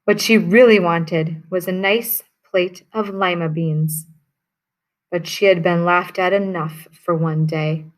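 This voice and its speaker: A woman teacher reading a children's picture book aloud to her class, telling it with expression. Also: on the low side. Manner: slow, expressive storytelling.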